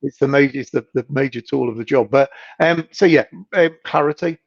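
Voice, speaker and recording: A man with a gravelly, husky voice who is losing it a little and keeps clearing his throat. He talks as a guest in a casual video-call chat, thoughtful, circling back and repeating words as he works out his point. The sound is dry and dull, like web-call audio. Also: mid-range voice, a little fast, no echo. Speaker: hypnotist guest. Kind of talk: casual live-stream chat.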